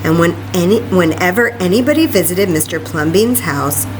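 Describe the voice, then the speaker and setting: A woman hosting a children's library story time, reading a picture book aloud with animated, exclamatory asides straight to her young listeners. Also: lowish voice, moderate pace, noisy background.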